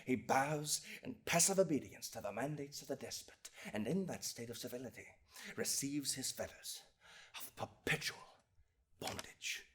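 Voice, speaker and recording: A man playing an impassioned young eighteenth-century lawyer in a dramatic, theatrical courtroom oration about injustice, with long pauses and big swings of force. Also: on the high side, very animated, a bit bright. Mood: grave, bitter. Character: impassioned young 18th-century lawyer, indignant defender of liberty.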